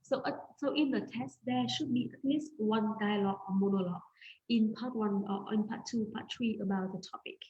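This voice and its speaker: A woman's voice: medium pitch, brisk, mellow, muffled recording. A woman from Vietnam, a native Vietnamese speaker, giving an academic conference presentation over slides on a video call, talking hesitantly with many fillers and restarts. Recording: echoey room, faint ambient noise.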